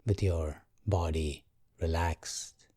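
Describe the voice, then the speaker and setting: A man guiding a meditation in a calm, gentle, measured way, leaving long pauses. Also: deep voice, slightly rough, dry recording, studio quality. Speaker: meditation guide. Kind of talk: guided meditation, slow and soothing.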